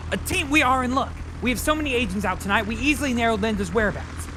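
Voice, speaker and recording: An actor playing an efficient, businesslike Santa's field agent who gives brisk official briefings in an audio play. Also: mid-range voice, expressive, over music, natural voice. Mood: matter-of-fact.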